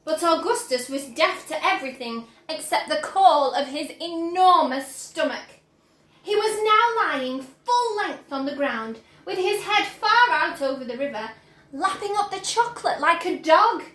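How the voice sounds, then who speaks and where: A young woman reads a children's story aloud in a very high voice, unhurried and expressive. The room gives a noticeable echo. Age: young adult. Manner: expressive, dramatic, measured delivery. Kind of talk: animated children's story reading. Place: bright plant-filled room at home. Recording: roomy indoor echo.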